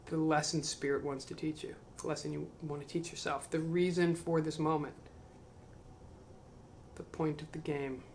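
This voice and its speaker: A man reading a spiritual book aloud to camera. He adds slow, musing commentary, questions himself, and trails off into mumbles. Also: on the high side, little pitch movement, a little hiss or hum.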